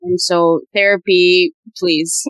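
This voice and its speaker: A woman's voice: lowish voice, takes it slowly, no echo. A young woman in her twenties from Uganda, hosting a casual conversation and telling her story with animation. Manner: animated storyteller, mimics voices.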